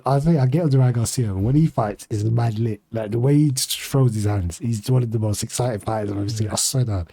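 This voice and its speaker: A man's voice: medium pitch, fast, vocal fry, hardly any pauses, dark tone, husky, dry recording. A man hosting a podcast in casual chat, slangy and rambling, sniffly from hay fever. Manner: slangy, rambling, laughs easily. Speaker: podcast host.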